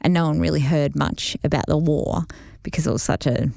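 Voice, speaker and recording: A woman's voice: sits low, steady tone, brisk, creaky, mellow, no echo, studio quality. A woman co-hosting a podcast, telling a rambling family story in casual chat. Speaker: podcast co-host.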